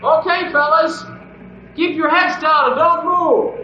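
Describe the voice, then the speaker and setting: An actor playing an eager, helpful young rescue-crew sidekick in an old-time radio adventure, with an earnest manner. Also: male voice, very high-pitched, steady tone, slow pace. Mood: urgent, reassuring. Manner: earnest, calling out instructions clearly. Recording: dull, echoey, noisy old radio recording.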